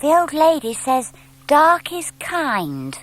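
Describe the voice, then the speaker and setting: A timid, childlike young owl boy, acted out by a woman reading a storybook. He speaks politely and earnestly, with an anxious edge. The pitch moves in lively swoops. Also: takes it slowly, heavily acted.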